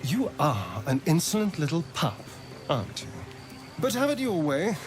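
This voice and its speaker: An actor voicing a haughty, pleasure-seeking character in a fantasy video game. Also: female voice, deep voice, wildly swooping pitch, moderate pace, music in the background. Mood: haughty, teasing. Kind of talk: video game dramatic acting.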